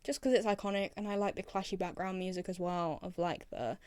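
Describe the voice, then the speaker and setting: A woman's voice: on the low side, steady tone, fairly quick, crowd noise. A woman presenting casual fan commentary in an enthusiastic way, repeating phrases for emphasis. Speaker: video presenter.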